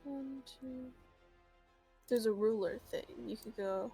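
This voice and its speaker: A woman's voice: a little high, slow pace, warm tone, slightly rough. A woman chatting casually as a player in an online tabletop game. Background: background music.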